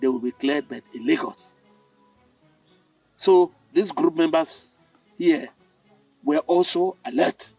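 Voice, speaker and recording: Older Cameroonian man, higher-pitched storyteller. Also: creaky, warm tone, husky, no room sound, recorded from a distance, soft background music, rough recording. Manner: deliberate, emphatic, repeats key phrases. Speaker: storytelling host. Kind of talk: moralising storytelling talk.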